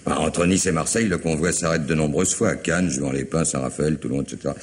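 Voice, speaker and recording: A man's voice: extremely low pitch, fast. An actor playing a middle-aged police commissioner in a French radio mystery, polite, methodical and insistent as he questions. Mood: reasoning. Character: persistent, probing police commissioner questioning a family.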